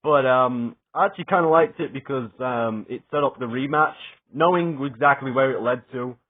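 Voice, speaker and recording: Excited young man, tinny phone-like sound. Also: medium pitch, normal speed. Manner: rambling and excited, swears, many fillers and restarts. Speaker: wrestling reviewer. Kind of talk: casual spoken review.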